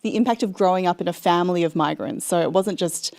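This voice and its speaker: A woman's voice: on the low side, fast talker, gravelly, a little mellow. A woman hosting an interview podcast, introducing her guest and asking encouraging questions. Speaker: podcast host. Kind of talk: hosted interview.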